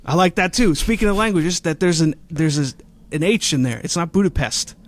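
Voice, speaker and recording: A man hosting a casual podcast, jokey and quick to laugh. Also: a little high, expressive. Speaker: podcast host.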